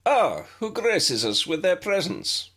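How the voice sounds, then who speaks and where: Man acting a charming Italian male professor, storybook character, theatrical, playful, courteous, very animated pitch, slightly husky grain. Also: slow, exaggerated voice. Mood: welcoming. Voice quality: warm, smooth, musical.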